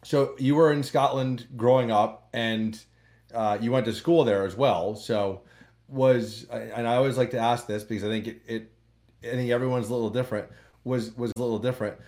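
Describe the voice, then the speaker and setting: A man's voice: medium pitch, steady tone, moderate pace, a little mellow. A man hosting a podcast interview, asking questions in a rambling way with many restarts and fillers. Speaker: podcast host. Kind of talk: conversational interview.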